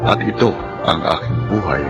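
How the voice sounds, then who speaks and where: Loud dramatic-serial music sits under a fairly low male voice. It is a brave, respectful young son, speaking earnestly in the manner of Filipino radio drama. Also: normal speed, vocal fry, highs cut off. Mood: earnest, reflective. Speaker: drama actor and first-person narrator.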